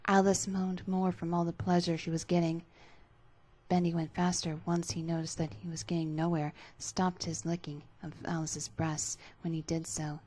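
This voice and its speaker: Narratrice dalla voce bassa e velata. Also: female voice, little pitch movement, normal speed, mellow. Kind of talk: dramatic scripted fan fiction narration.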